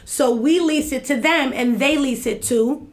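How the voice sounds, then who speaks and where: A woman's voice: high voice, measured pace. A woman, talking as a guest in a casual interview, emphatic and repeating herself, checking in with "right?". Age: 30s. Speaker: guest, executive director of an activist group.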